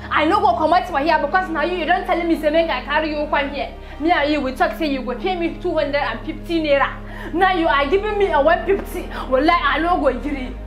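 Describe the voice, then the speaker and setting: A woman's voice: sits high, roomy sound, voice pushed up, natural voice. A woman with a Nigerian accent playing a furious uneducated Hausa woman who wails and insists on her money in Pidgin. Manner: wailing, insistent, repetitive. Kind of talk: Pidgin audition acting.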